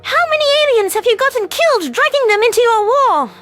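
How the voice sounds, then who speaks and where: A furious alien bird ambassador. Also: female voice, very high-pitched, sing-song, quick pace, a bit bright, faint music behind, full character voice. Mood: enraged. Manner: screaming, accusatory.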